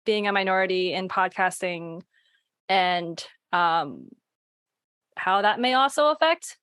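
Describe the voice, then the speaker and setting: A woman speaking in a casual panel discussion, halting as she searches for words. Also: fairly low pitch, lively intonation, a bit bright, clear, resonant tone, dry recording.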